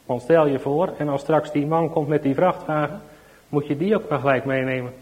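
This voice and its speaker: A man's voice: a little high, fairly even intonation, brisk, a little mellow, natural voice, rough recording. A man voicing a stern, impatient policeman in a children's story. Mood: bossy.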